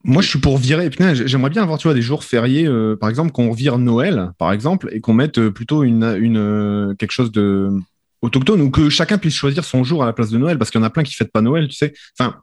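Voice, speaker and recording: A man speaking as a panelist on a radio discussion, quick and opinionated. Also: medium pitch, darkish tone.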